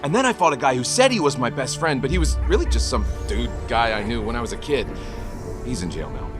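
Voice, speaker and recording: A man's voice: mid-range voice, big pitch swings, quick pace, over music, lightly acted. An actor voicing a young male superhero, remorseful and earnest, narrating his past in a confessional monologue with dry quips. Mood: wry recounting. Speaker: actor in a script table read. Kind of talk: dramatic first-person monologue.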